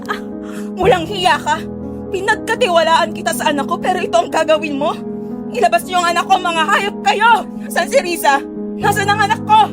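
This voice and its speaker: Very high-voiced furious mother. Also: brisk. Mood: furious, desperate. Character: furious, defiant mother fighting for her child. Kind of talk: melodramatic audio drama acting. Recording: voice under loud music.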